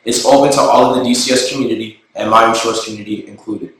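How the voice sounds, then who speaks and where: A man's voice: medium pitch, fairly even intonation, quick pace, a bit bright. Man speaking far off in an echoey room. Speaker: student body president. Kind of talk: read announcement. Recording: distant mic in a very echoey room.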